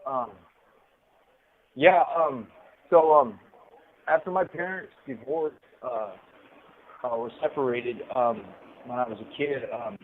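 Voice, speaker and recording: Middle-aged male prison caller, halting and reflective, heard through a narrow, muffled phone line. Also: medium pitch. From Southern California. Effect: telephone line. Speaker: incarcerated interview guest calling from prison. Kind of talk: casual personal storytelling and reflection. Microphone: telephone handset.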